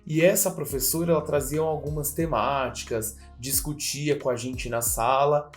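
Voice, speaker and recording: A man, a public school teacher, recalling his childhood in a calm, reflective way. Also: medium pitch, normal speed, soft background music. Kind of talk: personal testimony to camera.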